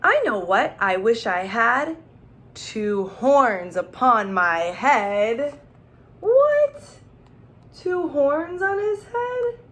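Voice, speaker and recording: A woman's voice: sits high, expressive, drawn-out words, fairly bright tone. A woman teacher reading a children's storybook aloud, expressively, with questions and asides addressed straight to young listeners.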